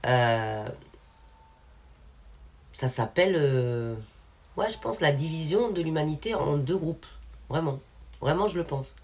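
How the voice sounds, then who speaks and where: A woman's voice: low-pitched, expressive, slow, pauses often, darkish tone, slightly rough. A woman from France talking casually and frankly to her viewers, rambling with many fillers and restarts. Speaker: independent singer and channel owner. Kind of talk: casual spoken update to viewers.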